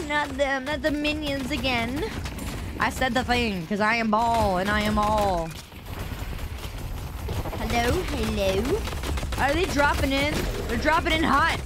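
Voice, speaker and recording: A young adult woman with a Midwestern American accent, chatting playfully while streaming a game, often repeating phrases in a sing-song way. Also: a little high. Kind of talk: casual gameplay streaming chat. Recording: loud game noise underneath.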